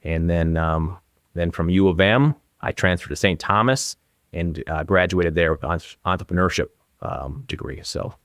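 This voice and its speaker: A man's voice: low-pitched, normal speed, a bit bright, no room sound, clean studio sound. A man in his forties with an Upper Midwestern American accent, talking casually and anecdotally as an interview guest. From northern Minnesota, USA. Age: 40s. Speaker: guest, real estate agent.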